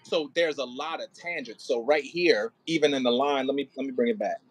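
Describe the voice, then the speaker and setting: Male audio play director, mid-pitched voice, casual and informal, coaching an actor through line delivery. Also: a little fast, slight background noise. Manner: informal, demonstrates lines by acting them out.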